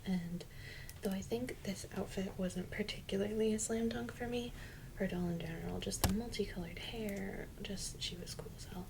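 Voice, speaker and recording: A young adult woman speaking in a soft, breathy, often near-whispered voice, chatting casually and slangily as an ASMR host. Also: medium pitch, normal speed. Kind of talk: soft-spoken ASMR doll unboxing, casual chat. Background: loud noise, clicks and crinkles of unboxing.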